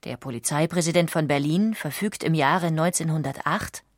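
Woman, low voice, scripted documentary narration, audiobook narrator, dry close recording. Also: normal speed, dry recording.

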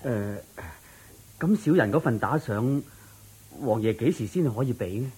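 A poor, humble musician in a Cantonese radio play, speaking with a Hong Kong accent. His mid-range voice is rough and husky, and he pleads meekly and earnestly. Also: mid-range voice, expressive, normal speed, darkish tone. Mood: puzzled pleading. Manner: meek, pleading. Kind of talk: radio drama acting.

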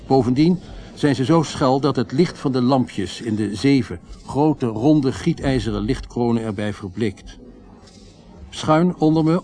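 Man acting radio event commentator, formal, descriptive, medium pitch, radio drama. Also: mellow, close to an everyday voice. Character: male radio reporter covering a royal ceremony, formal, later shaken.

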